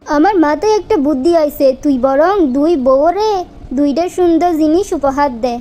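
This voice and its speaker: Casting: woman playing fed-up older mother, high voice, scolding and crafty, Bangladeshi accent. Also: moderate pace, dark tone, natural voice. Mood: scheming. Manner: scolding, advising. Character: exasperated, scheming older mother of married son. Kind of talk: comic cartoon dialogue.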